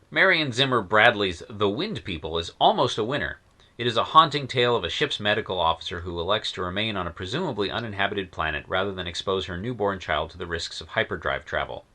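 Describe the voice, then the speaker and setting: A man's voice: on the low side, animated, a little fast, bright, forceful tone. A man narrating a scripted review of old science fiction stories, wry and enthusiastic with sardonic asides. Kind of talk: scripted narration in a period persona.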